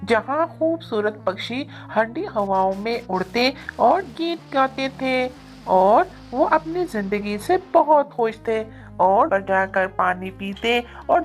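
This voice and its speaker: A woman reading a scripted children's story as its narrator. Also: on the high side. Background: music.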